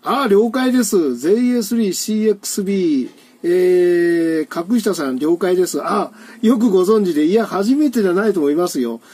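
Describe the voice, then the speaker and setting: A man's voice: high-pitched, expressive, normal speed, warm tone, husky. A man working as an amateur radio operator, spelling out call signs at his home station. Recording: faint noise of radio room.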